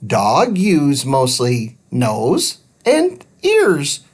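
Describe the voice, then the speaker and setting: A man voicing a small squeaky helper robot in a storybook, talking in clipped, broken phrases. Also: huge pitch swings, very slow, slightly rough, exaggerated voice. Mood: matter-of-fact. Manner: clipped, simplified phrases without articles.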